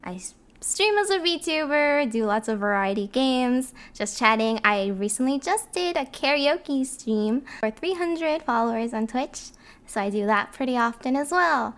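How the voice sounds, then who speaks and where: Very high-pitched woman in casual chat. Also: lively intonation, measured pace, a bit bright. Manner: joking, informal. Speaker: voice actor and streamer, podcast guest.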